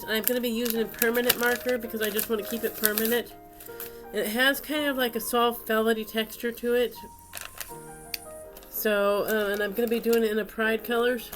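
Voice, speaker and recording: A woman's voice: a little high, little pitch movement, brisk, music in the background. A woman with a stuffy, congested voice, hosting a casual craft video with rambling, unscripted narration as she works.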